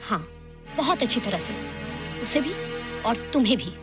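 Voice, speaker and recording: A woman's voice: a little high, lively intonation, moderate pace, loud music behind the voice, lightly acted. An actress playing a headstrong, indignant young woman in a film drama. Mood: defiant anger. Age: young adult. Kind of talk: Bollywood film dialogue.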